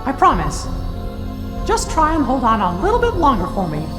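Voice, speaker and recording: A small, cheerful cartoon mouse king who speaks in a high falsetto that bounces up and down. The tone is encouraging and warm. The sound is roomy with a long tail of reverb over loud music. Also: huge pitch swings, normal speed, heavily acted. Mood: reassuring. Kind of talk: game cutscene voice acting.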